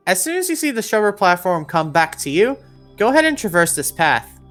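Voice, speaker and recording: Lively low-pitched woman guiding gameplay. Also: brisk, faint music behind. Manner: step-by-step, directive. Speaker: video game guide narrator. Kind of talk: instructional gameplay walkthrough voice-over.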